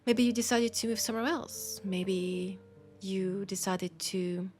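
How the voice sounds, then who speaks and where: A woman with a French accent playing a warm, teasing, caring bookseller in an intimate roleplay audio, speaking softly and hesitantly with pauses. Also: mid-range voice, steady tone, soft background music, lightly acted. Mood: worried, tender. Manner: soft, hesitant, gently teasing, unhurried with pauses. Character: warm, teasing, worried mortal bookseller woman, fond of a vampire.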